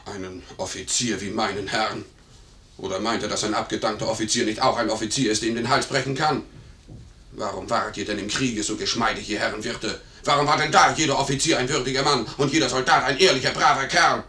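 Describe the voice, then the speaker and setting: An actor playing a blunt, hot-headed, loyal manservant in an old German radio play, in an echoey recording. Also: male voice, mid-range voice, fairly even intonation, a little fast, sharp tone, lightly acted. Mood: angry. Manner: blunt, indignant. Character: gruff, hot-headed, loyal male servant.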